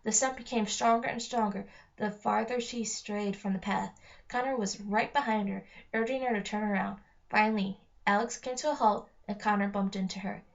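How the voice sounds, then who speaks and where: A woman's voice: medium pitch, fairly even intonation, normal speed, gravelly. A woman narrating a children's story aloud, reading from the text with an occasional stumble. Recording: dull lo-fi home recording.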